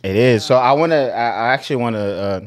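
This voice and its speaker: A man from Zambia co-hosting a casual podcast chat, talking in a hesitant, halting way. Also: mid-range voice. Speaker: podcast co-host.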